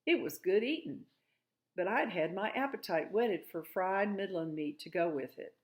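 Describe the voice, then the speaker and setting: A woman narrating a storybook aloud in an expressive way. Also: fairly low pitch, moderate pace.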